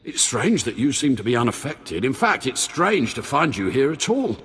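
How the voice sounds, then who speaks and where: In long hall reverb, a grandiose yet anxious pretend Sith lord for a video game. The voice is very rough and animated, theatrical and prone to falter. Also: male voice, mid-range voice, moderate pace, full character voice. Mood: anxious, scheming. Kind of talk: video game cutscene acting.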